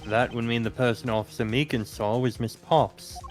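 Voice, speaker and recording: A man voicing a composed, formal investigator who questions patiently and in measured words, in a video game fan dub. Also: medium pitch, fairly even intonation, faint music behind, lightly acted. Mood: calm, reasoning.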